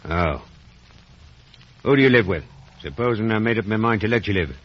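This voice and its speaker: An actor playing a menacing, wretched escaped convict in a radio drama, with rough, threatening speech. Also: male voice, lowish voice, lively intonation, normal speed. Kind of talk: 1950s radio drama acting. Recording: muffled, noisy vintage radio recording.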